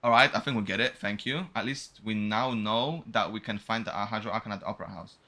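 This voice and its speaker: A man's voice: lowish voice, fast talker, bright, forceful tone. A man giving casual, joking game commentary with slang and swearing, sometimes reading dialogue aloud. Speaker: game streamer.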